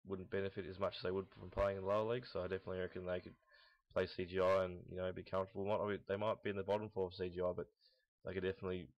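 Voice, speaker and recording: Deep flat-voiced young man, dull echoey recording. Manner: rambling, halting, blunt, casual swearing. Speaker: host of a gaming team audio log. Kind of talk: casual unscripted commentary on esports team predictions. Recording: dull lo-fi, roomy echo, mouse clicks.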